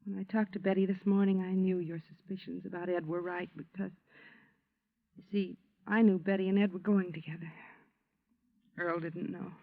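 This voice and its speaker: Distraught woman, creaky-voiced. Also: fairly low pitch, little pitch movement, normal speed. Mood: subdued, grieving. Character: distraught, pleading woman caught up in a murder case. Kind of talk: 1950s radio drama acting. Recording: muffled vintage radio recording.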